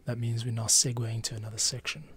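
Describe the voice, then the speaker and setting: A man's voice: medium pitch, completely flat delivery, fast, fairly bright tone, husky. A middle-aged man thinking aloud in casual live-stream commentary while he edits audio, sometimes dropping to a near-whisper. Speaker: podcast editor and host. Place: small home studio room, indoors.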